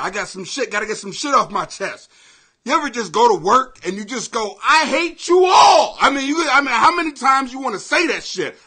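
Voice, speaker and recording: A middle-aged American man hosting a comedy podcast in a brash, profane, excitable rant that often rises to shouting. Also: high voice, husky. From Greenwich, Connecticut, United States. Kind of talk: ranting comedy podcast monologue.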